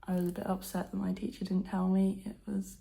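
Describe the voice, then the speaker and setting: A teenage girl vlogging at home, her voice croaky from just waking up, talking softly and half-whispering. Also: medium pitch, little pitch movement, brisk, a little hiss or hum. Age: teens. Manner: soft, half-whispered, nervous chatter. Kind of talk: casual to-camera vlog. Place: at home indoors.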